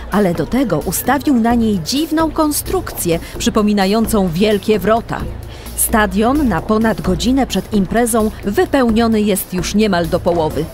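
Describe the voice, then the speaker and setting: A woman's voice: medium pitch, natural voice. A woman narrating a children's adventure in a scripted audio drama. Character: female storyteller of a kids' adventure.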